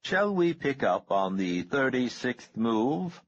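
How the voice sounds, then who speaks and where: A man voicing a courtly, smooth-talking male billionaire with an oily, polite manner. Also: mid-range voice, very animated, slow pace, no room sound, muffled recording, pitched above the performer's own voice.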